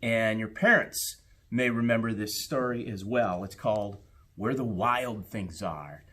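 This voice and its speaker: A man, a school principal, talking to children and reading a storybook aloud expressively. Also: on the low side. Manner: expressive, deliberate storytelling with pauses.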